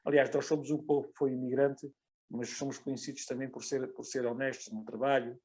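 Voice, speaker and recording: A man's voice: mid-range voice, little pitch movement, brisk, a little mellow. Portuguese guy on a football panel, normal mid voice, stops and repeats himself making his point. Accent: European Portuguese. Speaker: football guest, former player. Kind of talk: casual panel discussion.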